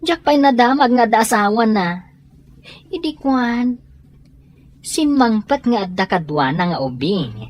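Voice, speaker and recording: A woman's voice: fairly high pitch, big pitch swings, measured pace, pauses often. A woman playing a nosy but sympathetic friend in an audio drama. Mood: curious, concerned. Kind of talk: Ilocano audio drama acting.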